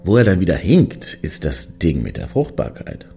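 A cynical, crude ex-boyfriend in a satirical radio play. His deep voice swings widely in pitch as he grumbles and mocks. There is music behind him. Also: huge pitch swings, normal speed, vocal fry, mellow, full character voice. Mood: mocking. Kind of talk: German radio play dialogue.

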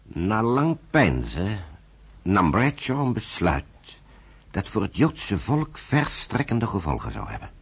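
An actor as the grave storyteller of a historical radio drama, narrating in a solemn, expressive way. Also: male voice, fairly low pitch, slow, gravelly, breathy edge, heavily acted.

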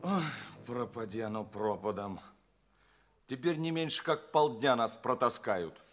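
Male actor, jaded alien grumbler, irritable, exclamatory, medium pitch, very lively intonation, theatrical audio play. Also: measured pace, natural voice. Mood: exasperated.

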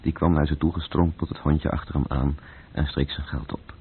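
Detached radio-play narrator, gravel-husky and sombre, over narrow muffled vintage sound. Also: male voice, rumbling low, little pitch movement, moderate pace, full character voice. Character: sombre male storyteller, detached and literary. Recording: muffled, phone-like old radio recording.

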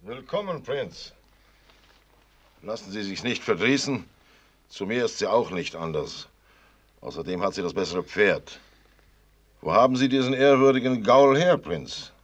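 A man's voice: on the low side, expressive, unhurried, pauses often, dull audio. An actor in a German radio play voicing a blunt, fussy older father who grumbles in a clipped manner. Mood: genial, blunt.

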